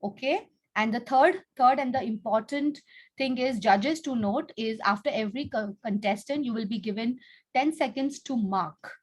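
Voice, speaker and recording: A woman's voice: a little high, normal speed, breathy edge, low-quality recording. A woman hosting and teaching, addressing children in an instructive way and prompting them with questions over an online video call. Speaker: contest host and teacher. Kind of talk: explaining rules and addressing children.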